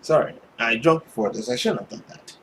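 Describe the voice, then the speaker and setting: A let's player reading a visual novel aloud with expressive narration and casual asides. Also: mid-range voice, brisk. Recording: faint background noise.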